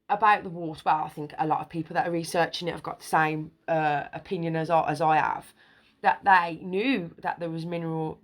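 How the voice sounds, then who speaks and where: A woman reading an old newspaper article aloud, stumbling over archaic words and place names, then commenting on it with excited emphasis. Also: on the low side. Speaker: video creator.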